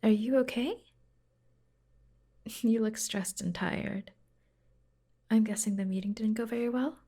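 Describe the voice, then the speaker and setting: A devoted, playful secretary acted by a woman fusses over the boss in an ASMR roleplay. The voice is soft and breathy, unhurried and intimate, often slipping into a whisper. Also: medium pitch, heavily acted. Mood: sympathetic, gentle. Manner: slow, gentle, playful, intimate. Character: caring, teasing, devoted young female secretary fussing over her boss.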